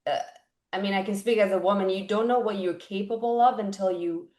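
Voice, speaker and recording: A woman's voice: medium pitch, steady tone, fairly quick, a little mellow. Iran-born woman in an echoey room. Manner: candid, personal. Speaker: actress guest. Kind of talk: casual interview.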